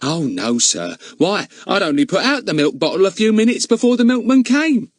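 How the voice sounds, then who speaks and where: A man's voice: high voice, big pitch swings, moderate pace, no echo, studio quality, voice pushed up, heavily acted. A man voicing a talkative, easily offended older female housekeeper who keeps calling her employer sir. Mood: chatty. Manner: gossipy, deferential, many a "sir". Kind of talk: audiobook character voice.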